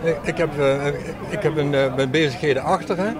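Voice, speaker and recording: A man in his sixties with a Brabant Dutch accent, a retired baker, speaks haltingly and repeats his words. His voice sits a little high, and a loud crowd chatters behind him. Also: fairly even intonation. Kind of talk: casual interview answers. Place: outdoors at a busy event. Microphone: boom mic on a stand.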